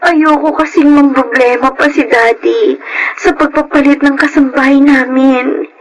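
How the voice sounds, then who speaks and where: An actress playing a meek, sentimental young daughter with a Filipino accent, in an emotional radio drama style. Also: female voice, high-pitched, steady tone, unhurried, rough recording. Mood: upset.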